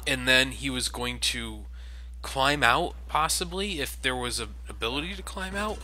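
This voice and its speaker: A man's voice: mid-range voice, normal speed, sharp tone, a little hiss or hum. A man giving sarcastic, casual commentary over a video game. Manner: sarcastic, mocking. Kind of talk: casual let's play commentary.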